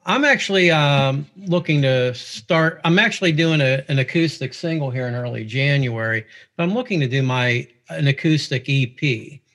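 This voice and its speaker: A man from Pittsburgh talks in a loose, rambling way with a clear Pittsburgh accent. He chuckles often as he chats as a guest musician, in an ordinary mid-range voice. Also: mid-range voice, normal speed, a bit bright. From Pittsburgh, USA. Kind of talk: casual chat.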